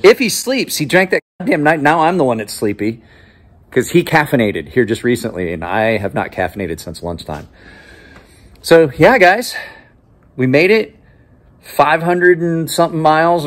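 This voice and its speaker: A man's voice: mid-range voice, animated, normal speed. Tired host, 40s male, rambling, casual phone-held vlog in a shop. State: exhausted. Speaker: knife channel host. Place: small cluttered office, then large workshop. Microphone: phone held at arm's length.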